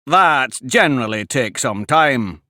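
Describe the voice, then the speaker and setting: A man voicing a bossy, boisterous male griffin who speaks loudly and decidedly. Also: medium pitch, lively intonation, measured pace, no room sound, clean studio sound. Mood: brisk. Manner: loud, decided and blustering.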